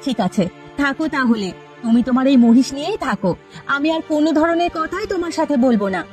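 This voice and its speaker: Woman acting a money-minded cartoon wife, high voice, bossy, nagging, full of complaints. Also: expressive, quick pace, a little mellow, soft background music. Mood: sulky. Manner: scolding, complaining. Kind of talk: Bengali cartoon story dialogue.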